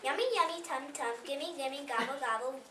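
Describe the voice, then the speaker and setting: A high female voice reads a story aloud for a reader's theater, sometimes halting. She is picked up from far off in an echoey room. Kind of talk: read-aloud story performance. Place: indoor room at a library. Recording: distant, echoey room with background noise.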